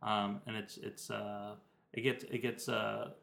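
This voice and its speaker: A lowish male voice rambles through casual talk, sick with a cold and searching for words with frequent ums. The room around him is echoey and reflective. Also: flat delivery, a little slow, creaky, fairly bright tone. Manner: meandering, hesitant with many ums. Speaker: podcast co-host. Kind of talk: casual chat.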